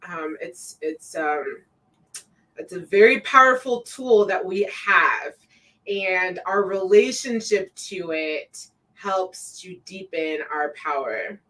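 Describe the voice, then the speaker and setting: Slow-talking woman live-stream host. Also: distant mic. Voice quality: says her own voice sounds deep to herself. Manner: relaxed, many fillers, reads viewer comments aloud. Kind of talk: casual live-stream chat.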